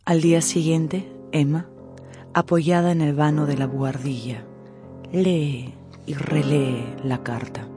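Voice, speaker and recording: A woman's voice: low voice, steady tone, slow pace. A woman as narrator, giving a dramatic scripted literary narration for a radio drama. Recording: music under the narration.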